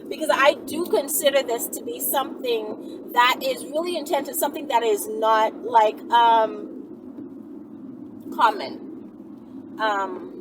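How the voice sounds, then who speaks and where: A woman talking casually and off the cuff to her viewers, emphatic and often checking that they agree. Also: sits high. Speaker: video channel host answering viewer questions. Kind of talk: casual unscripted talk to viewers. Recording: distant mic, clear background noise.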